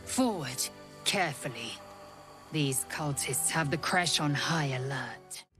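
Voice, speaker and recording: A woman's voice: sits low, lively intonation, takes it slowly, over music. An actress playing a fierce, proud githyanki warrior woman who speaks curtly and threatens openly. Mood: stern. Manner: curt, contemptuous, threatening.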